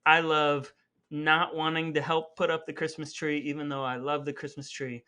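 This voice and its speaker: A man's voice: on the high side, flat delivery, normal speed, sharp tone, clean tone. A man co-hosting a podcast with a playful, mock-earnest delivery that mixes chat and scripted patter. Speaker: podcast co-host. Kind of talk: chatty scripted podcast narration.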